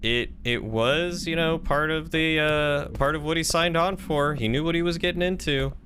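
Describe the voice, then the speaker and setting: A man's voice: fairly high pitch, normal speed, fairly bright tone, slight background noise. A man doing casual, wry live game commentary and chatting with viewers as he plays. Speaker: game streamer.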